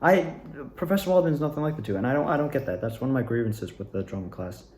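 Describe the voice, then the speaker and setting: A man's voice: medium pitch, big pitch swings, quick pace, darkish tone, a little hiss or hum. A young adult man rambling casually and candidly about his own experiences, like a student actor giving a personal recap. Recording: roomy, echoing room sound.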